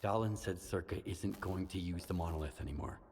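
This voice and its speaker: An actor playing a gruff, hardened stalker in a video game, terse and blunt. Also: male voice, low voice, a little fast, a little mellow. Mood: determined. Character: gruff, hardened male stalker, skeptical loner. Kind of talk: dramatic video game acting. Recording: reverberant hall, noticeable faint noise.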